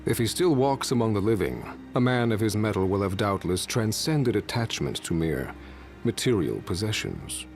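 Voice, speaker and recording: Stately ancient warrior, sweeping intonation. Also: male voice, lowish voice, lively intonation, moderate pace, darkish tone, faint music behind. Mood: solemn, commanding. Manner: archaic, formal, stately diction. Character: ancient undead warrior blade master, solemn and imperious. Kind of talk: dramatic video game acting.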